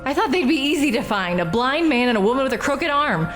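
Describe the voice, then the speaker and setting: A woman's voice: sits high, sing-song, fairly bright tone, soft background music. An actress playing a bitter, defiant woman who confesses a killing in a radio drama. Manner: bitter, defiant, rushed when reliving the fight.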